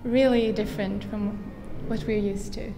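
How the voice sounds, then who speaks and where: A woman's voice: mid-range voice, fairly even intonation, a little slow, creaky, noisy background. An eighteen-year-old woman from Sweden with a Swedish accent, speaking evenly and matter-of-factly as an exchange student. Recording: echoey reverberant room.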